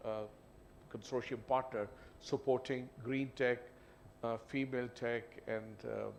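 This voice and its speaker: A man's voice: medium pitch, fairly even intonation, vocal fry. A man with a Pakistani English accent, speaking on a business panel and often saying "you know" as he halts. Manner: halting, with frequent "you know". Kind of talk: panel discussion. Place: event hall. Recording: faint background noise.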